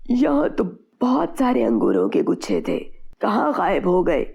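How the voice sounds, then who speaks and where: A woman's voice: lowish voice, wildly swooping pitch, unhurried, vocal fry, warm tone, husky, muffled recording, faint music behind, heavily acted. A woman voicing an honest, worried farm labourer in a children's moral story cartoon, earnest and plain-spoken. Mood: puzzled, worried.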